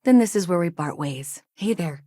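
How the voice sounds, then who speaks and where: Game casting: earnest, worried female colony technician. Slightly low pitch, natural hesitant delivery, wry streak, close dry sound. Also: animated, moderate pace, dark tone, no room sound. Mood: friendly, businesslike. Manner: conversational, hesitant, wry asides. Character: anxious, earnest, chatty woman engineer in sci-fi colony. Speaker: video game character. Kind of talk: naturalistic video game dialogue acting.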